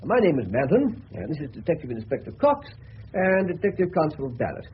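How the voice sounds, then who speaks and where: An actor playing an urbane, courteous senior detective in a radio play, questioning in a brisk, clipped way. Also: male voice, on the high side, big pitch swings. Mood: courteous, probing. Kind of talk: 1970s radio play acting. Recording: muffled, faintly hissy old radio broadcast.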